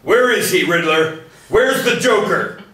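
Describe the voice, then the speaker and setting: An actor at a table read playing a grim, determined masked vigilante who speaks in terse lines. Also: male voice, sits high, expressive, measured pace, a crowd in the background. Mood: angry. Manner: terse clipped lines, rising to shouts. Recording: very echoey room from far off.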